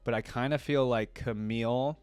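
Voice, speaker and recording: A man's voice: mid-range voice, fairly even intonation, normal speed, a little mellow, clean tone, no echo. Casting: male reaction host, mid-range voice, informal and enthusiastic fan commentary, dry recording. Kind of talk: casual fan commentary alternating with story read-aloud.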